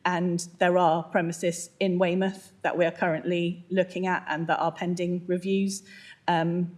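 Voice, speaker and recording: A slightly croaky woman giving a measured formal statement as a police licensing officer at a council hearing, with frequent ums. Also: fairly low pitch, little pitch movement, darkish tone. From England. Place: council meeting, remote or in chamber.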